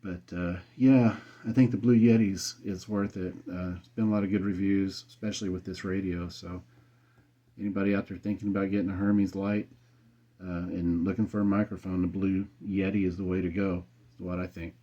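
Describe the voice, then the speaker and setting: American male radio hobbyist, relaxed and colloquial, lowish mellow voice, slightly dull desk mic. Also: fairly quick. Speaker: amateur radio operator and video host. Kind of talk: casual radio chat. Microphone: USB desk condenser microphone.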